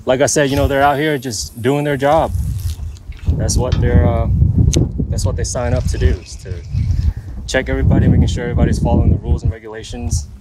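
A man's voice: medium pitch, fairly quick. Chatty man fishing off a windy bridge. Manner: relaxed, chatty, explains as he fishes. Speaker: fishing vlogger. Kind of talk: casual vlog commentary. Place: outdoors on a bridge over open water. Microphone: action camera on the body. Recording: outdoor camera audio with loud wind noise.

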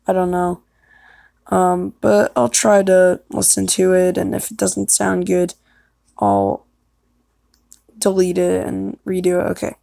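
A woman's voice: lowish voice, slow pace, gravelly, long pauses, warm tone, dry recording, clean studio sound. A young woman chatting casually and self-consciously, hesitant and apologetic as she records herself. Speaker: amateur voice actor recording an audition.

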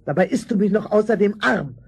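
A nagging, miserly aunt in a fairy-tale radio play. Her raspy voice leaps up and down as she scolds querulously. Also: mid-range voice, expressive, brisk, a little mellow, exaggerated voice. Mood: scolding, complaining. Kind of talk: German fairy-tale audio drama acting.

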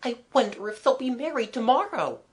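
A woman voicing an excitable, romantic and rather scornful schoolgirl in a storybook, speaking emphatically. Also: a little high, brisk, voice pushed up. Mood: excited.

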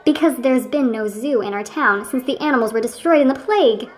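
A woman's voice: on the high side, fairly quick, close to an everyday voice. A woman acting in a radio play as a pompous, irritable man who lectures a friend in an indignant, condescending way. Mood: exasperated.

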